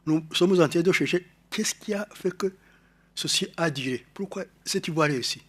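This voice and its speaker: A man from Rwanda with a Rwandan French accent, speaking to an audience in a halting, explanatory way, often repeating words and restarting. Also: a little high, fairly bright tone, slightly rough. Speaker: conference speaker. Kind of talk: lecture-style talk to an audience.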